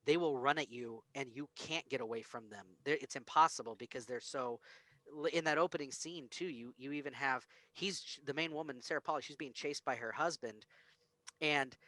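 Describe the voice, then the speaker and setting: A man with a forward, bright voice hosts a casual movie podcast. He speaks emphatically and with plenty of enthusiasm, at a middle pitch. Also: a little fast, dry recording, low-quality recording. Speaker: podcast host. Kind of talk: casual film discussion chat.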